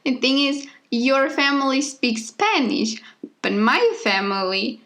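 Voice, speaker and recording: Brief: young woman from Slovenia, Slovenian her first language, podcast co-host, halting casual talk, higher voice. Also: animated, a bit bright. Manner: hesitant, laughs often. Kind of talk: casual chat.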